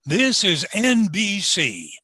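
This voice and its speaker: A man's voice: high voice, huge pitch swings, slow, sharp tone, husky, dry recording. A man announcing in a formal, polished 1940s network radio style, reading from a script.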